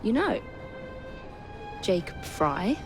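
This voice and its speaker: A composed, wry young woman assassin in a game cutscene. Her voice sits in a mid range with a measured, dry delivery. A film score plays clearly behind her. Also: medium pitch, big pitch swings, over music.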